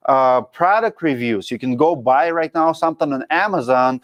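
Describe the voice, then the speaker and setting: A man's voice: mid-range voice, moderate pace, dull audio. A man in his thirties with a Russian accent, hosting a casual livestream and coaching viewers in a frank, blunt way. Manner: frank, coaching, drops articles. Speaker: show host reading live chat.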